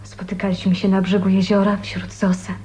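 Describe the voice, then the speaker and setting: An actress in a radio drama playing a gentle, dying young woman who confides hesitantly and earnestly. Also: mid-range voice, monotone, brisk, close to an everyday voice. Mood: wistful, confessing. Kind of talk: 1980s radio drama acting. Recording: faint noise on radio recording.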